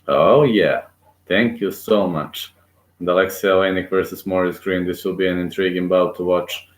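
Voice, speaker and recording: Excitable man calling a fight, echoey room. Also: sits low, moderate pace. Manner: excitable, rooting aloud, frequent fillers. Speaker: amateur fight commentator. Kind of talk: live play-by-play commentary.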